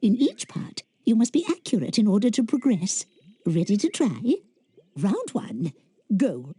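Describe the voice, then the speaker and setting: A woman's voice: mid-range voice, sing-song, a little slow, mellow, a little hiss or hum. A woman playing an encouraging, bustling British witch teacher giving a lesson in a video game. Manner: schoolteacherly, encouraging.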